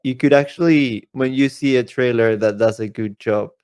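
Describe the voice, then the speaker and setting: Smooth-voiced man in casual podcast chat. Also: mid-range voice, normal speed, a little mellow. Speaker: podcast co-host.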